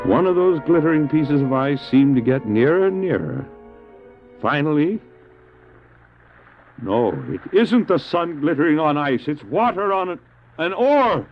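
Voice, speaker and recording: An actor playing a devout missionary stranded on drifting ice in an audio drama, speaking with solemn, earnest, deliberate phrasing. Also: male voice, fairly high pitch, animated. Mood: rising excitement. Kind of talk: audio drama acting with narrated recollection and prayer. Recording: muffled phone-like old cassette drama, music.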